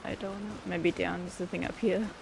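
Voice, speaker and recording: A woman's voice: lowish voice, level tone, fairly quick, gravelly, background noise. A woman game streamer giving casual livestream commentary in wry, muttered asides. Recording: game music under the voice. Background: game music.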